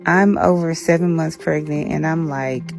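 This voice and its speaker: A woman's voice: low voice, steady tone, moderate pace, gravelly, music in the background. A woman narrating a video in a casual way.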